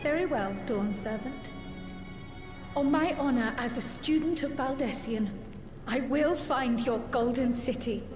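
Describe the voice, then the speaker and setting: A man's voice: very high voice, unhurried, voice pushed up. A man voicing an earnest young female scholar who makes a formal, solemn vow. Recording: distant echoey hall, game music.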